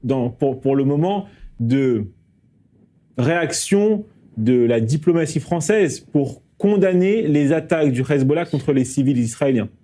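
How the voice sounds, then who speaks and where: A man, an interviewer, asking a formal question in a measured way. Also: fairly high pitch, expressive, mellow.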